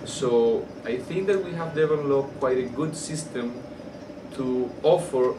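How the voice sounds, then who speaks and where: A man with a Colombian Spanish accent gives unscripted interview answers as a surgeon. His pitch is average and his phrasing halts and repeats. He sounds set back from the microphone in a roomy space, with air-conditioning noise behind him. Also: a little slow. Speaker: orthopedic surgeon being interviewed. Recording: roomy, distant mic, air-conditioner hum.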